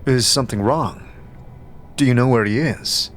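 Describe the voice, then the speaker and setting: A man's voice: medium pitch, moderate pace, a little hiss or hum, lightly acted. A man playing a scarred, reserved but sympathetic wanderer who asks brief questions in a fantasy audio drama. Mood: concerned.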